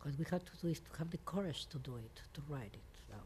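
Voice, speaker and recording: A woman from Mexico speaking English with a Mexican Spanish accent as a non-native speaker, giving an interview as a composer in halting English full of fillers and restarts. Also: deep voice, expressive, mellow, a little hiss or hum. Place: venue in New York.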